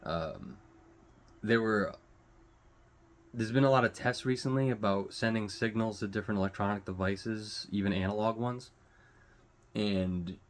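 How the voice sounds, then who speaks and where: Male online commentator, lower register, unscripted and rambling, loose casual language with swearing, often hunting for the next word. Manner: halting, rambling, searching for words. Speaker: host of his own online show.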